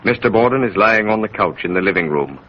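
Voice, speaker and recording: A man's voice: on the low side, lively intonation, quick pace, highs cut off. A man narrating a 1930s radio mystery in a solemn, dramatic manner, on a scratchy old recording.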